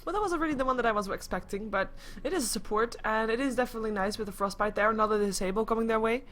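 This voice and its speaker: A woman casting an esports match live in a casual, chatty, expressive way. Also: fairly high pitch, racing. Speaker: esports commentator.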